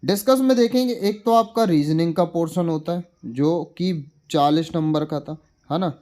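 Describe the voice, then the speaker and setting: Male coaching teacher, slightly high pitch, informal explanatory delivery with repeated check-in questions. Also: normal speed, darkish tone. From India. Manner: repeats points as questions and answers them, with check-in tags. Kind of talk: informal exam analysis explainer.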